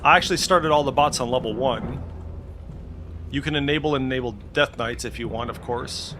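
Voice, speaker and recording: A man's voice: mid-range voice, a little fast, over music. A man giving an informal, unscripted tech walkthrough, hesitant and thinking aloud, with the odd mild swear. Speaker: tech video creator. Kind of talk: casual unscripted screen-share walkthrough. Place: indoors at a computer.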